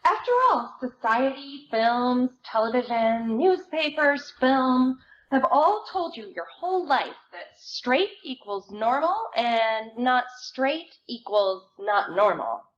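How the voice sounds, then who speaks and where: A woman with a fairly high voice reads dramatically at a very measured, slow pace. The recording is dull, with background noise. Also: lively intonation. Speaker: theater reader. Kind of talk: dramatic public reading of book excerpts. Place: live reading at a library event.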